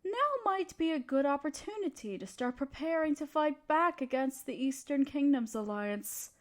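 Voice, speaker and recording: A woman's voice: sits high, normal speed, natural voice. A woman playing a haughty, scheming evil queen in an audio drama, speaking in an imperious, theatrical, condescending way. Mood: gloating, conniving. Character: scheming evil queen, haughty tyrant gloating to her advisor. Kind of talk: scripted roleplay audio drama monologue.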